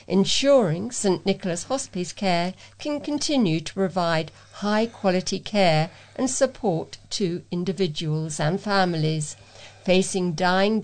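A woman's voice: medium pitch, darkish tone. Woman reading local news. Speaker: volunteer newsreader and presenter for a talking newspaper. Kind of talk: read-aloud local news.

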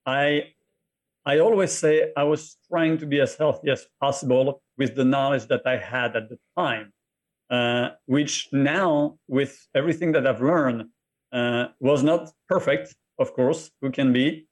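A middle-aged man speaking with a French accent in an even, middle-range voice. He talks as a podcast guest, often stopping to restart or repeat a word as he tells his story. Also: mid-range voice. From France. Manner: halting, repeats words while telling his story. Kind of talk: interview conversation.

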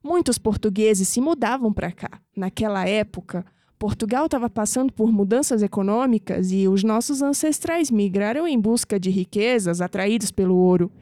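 An actress playing a calm, reverent old grandmother in an audio drama, with a Brazilian accent and a measured, solemn way of speaking. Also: medium pitch, dry recording, studio quality, close to an everyday voice. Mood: reflective.

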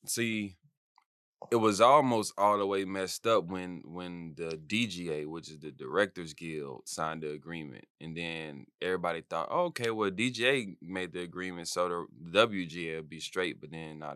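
A man with a deep voice that is bright and very clear. He co-hosts a podcast in casual chat, explaining things in an informal way. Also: normal speed. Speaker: podcast co-host.

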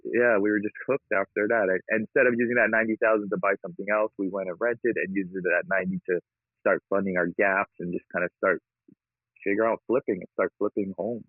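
An upbeat man from Hawaii talks casually about his work, laughing easily. His lowish voice comes through a thin, phone-like line. The sound is dry, with no room around it. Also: fast, breathy edge, no echo. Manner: upbeat, laughs often, energetic. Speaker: guest, real estate investor. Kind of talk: casual interview talk.

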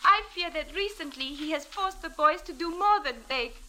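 A woman's voice: high voice, expressive, normal speed, sharp tone. An actress playing an anxious, pleading young woman in a 1940s radio drama, speaking formal broken English. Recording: old radio drama recording.

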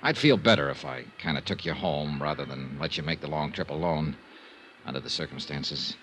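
An actor playing a confident, wisecracking insurance investigator in a 1950s American radio drama, brisk and wry. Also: male voice, extremely low pitch, background noise. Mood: gentle, reassuring. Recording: 1950s radio broadcast with music.

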